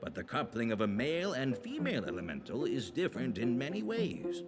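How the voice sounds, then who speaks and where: An actor playing a formal, didactic male teacher in a fantasy visual novel, speaking in a measured, lecturing way. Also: medium pitch, wildly swooping pitch. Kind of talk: scripted visual novel voice acting.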